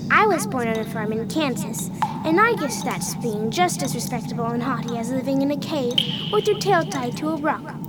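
Woman playing a high-voiced, plucky little farm girl. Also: animated, moderate pace, loud music behind the voice. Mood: indignant. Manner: earnest, plainspoken. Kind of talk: radio theater acting.

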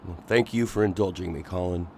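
An actor playing an earnest, agitated Victorian gentleman in a radio drama, pleading and intense. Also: low voice, brisk, a little hiss or hum.

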